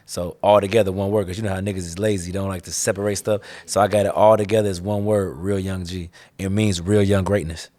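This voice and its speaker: A man's voice: low-pitched, flat delivery, quick pace, few pauses, mellow. A young man from the Chicago area, a rapper talking casually in an interview, laid-back and slangy, often saying "you know what I'm saying". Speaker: rapper guest.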